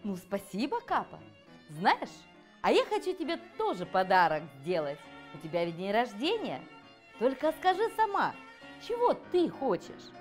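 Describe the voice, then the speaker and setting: A woman's voice: fairly high pitch, huge pitch swings, normal speed, soft background music. A woman voicing a friendly, generous female rainbow in a storybook, sweet and eager. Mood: grateful, offering. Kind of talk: children's storybook reading.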